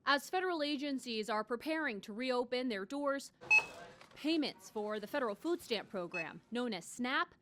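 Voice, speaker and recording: Woman, American accent, high pitch, scripted news narration, correspondent. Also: lively intonation, normal speed, background noise.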